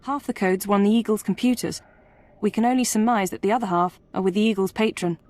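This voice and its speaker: Woman voicing intelligence analyst, game character, mid pitch, confident, formal, controlled. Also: steady tone, fast talker, a little mellow, close to an everyday voice. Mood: businesslike. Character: self-assured, analytical female intelligence officer. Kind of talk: video game drama acting.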